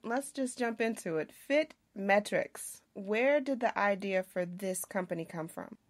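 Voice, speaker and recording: A woman's voice: mid-range voice, lively intonation, measured pace. A woman hosting an upbeat interview podcast, addressing listeners and her guest. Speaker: podcast host.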